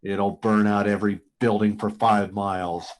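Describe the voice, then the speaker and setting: A man's voice: fairly low pitch, little pitch movement, moderate pace, close to an everyday voice. A man playing a desperate, threatening pilot in a radio drama. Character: desperate male pilot threatening to blast the base. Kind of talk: live radio drama acting.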